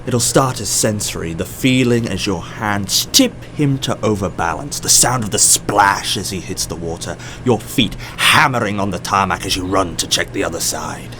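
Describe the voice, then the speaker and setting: An actor playing a mysterious boss in an audio drama, probing and composed, speaking with measured, deliberate pauses. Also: male voice, on the low side, sharp tone, soft background music. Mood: knowing, grim.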